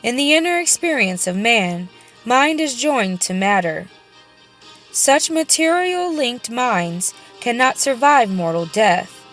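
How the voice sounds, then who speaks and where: High-pitched woman reading scripture, music behind. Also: animated, slow, a bit bright. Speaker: audiobook reader. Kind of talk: scripted reading of a religious book.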